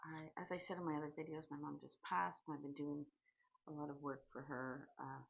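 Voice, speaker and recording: A Canadian woman in her sixties talks to camera in a candid, chatty vlog, stopping and restarting now and then. Her voice sits a little low and sounds husky and breathy. The recording is dull and lo-fi. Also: flat delivery, brisk. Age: 60s. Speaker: vlogger. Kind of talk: casual personal vlog.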